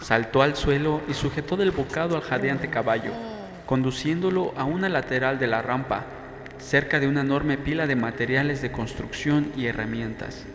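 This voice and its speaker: A man with a mid-pitched voice reads a novel as an audiobook narrator. The sound is very echoey, with long reverb and a faint background noise. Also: normal speed. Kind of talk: scripted first-person novel narration.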